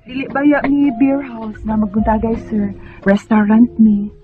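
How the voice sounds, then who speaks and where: An actress playing a kind, frank restaurant waitress in a Bisaya radio drama, matter-of-fact, with a Filipino accent. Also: female voice, a little high, normal speed, faint music behind, close to an everyday voice. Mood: chiding. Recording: dull lo-fi sound, faint noise.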